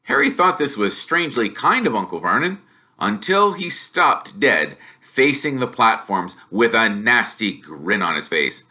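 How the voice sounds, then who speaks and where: A man reading a story aloud as expressive scripted narration. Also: mid-range voice, unhurried. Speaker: storybook reader.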